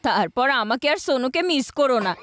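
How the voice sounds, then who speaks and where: A woman's voice: sits high, lively intonation, a little fast, fairly bright tone, slight background noise. A woman voicing a sporty, boastful young boy in a cartoon. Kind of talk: cartoon voice acting.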